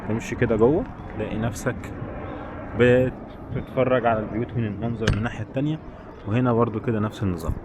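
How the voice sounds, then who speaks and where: A man's voice: mid-range voice, steady tone, rapid-fire. A man with an Egyptian Arabic accent giving casual, chatty travel commentary straight to his viewers while walking. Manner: chatty, addresses viewers directly. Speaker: travel vlogger. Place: outdoors, walking in streets and a village. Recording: noisy outdoor street and traffic sound.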